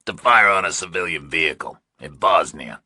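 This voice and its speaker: A man voicing a bitter, blunt, weary ex-Marine with a gruff delivery in an audiobook thriller. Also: very deep voice, expressive, moderate pace, sharp tone, no echo, exaggerated voice. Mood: bitter, resigned.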